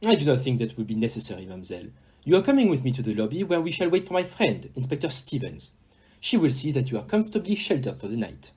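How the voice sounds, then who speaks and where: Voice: medium pitch, big pitch swings, fast, darkish tone, breathy edge, poor audio. A performer in an amateur audio play acting a polite, self-assured detective, courteous and theatrical, dropping in words. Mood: soothing, assured.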